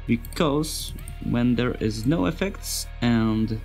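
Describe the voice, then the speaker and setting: Halting, slow-talking non-native English-speaking man. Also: mid-range voice, expressive, a little mellow, soft background music. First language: not English. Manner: halting, searching for words, simple phrasing. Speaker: sound designer giving a tutorial. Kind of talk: informal software tutorial narration.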